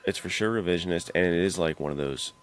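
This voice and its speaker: A man's voice: low voice, steady tone, fast. A man hosting a podcast, chatting casually and slangily in a laid-back way. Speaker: podcast host. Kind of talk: casual chat about western films.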